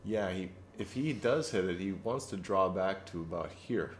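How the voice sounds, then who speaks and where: A man giving relaxed live commentary on a pool match, explaining each shot and joking dryly. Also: deep voice, moderate pace, a little hiss or hum.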